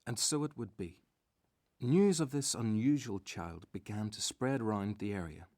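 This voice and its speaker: A man with a lowish voice narrates a scripted story for radio. The sound is dry and close, and he tells it as a storyteller would. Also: lively intonation, a little slow, a little mellow, dry recording.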